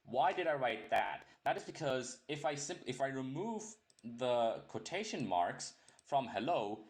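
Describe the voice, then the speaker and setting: A man's voice: mid-range voice, sing-song, unhurried. A young man teaching a programming lesson in a casual, rambling way, often correcting himself and joking as he goes. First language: English. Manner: rambling, self-correcting, jokey asides. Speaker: programming tutor. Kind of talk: casual screen-recorded coding tutorial.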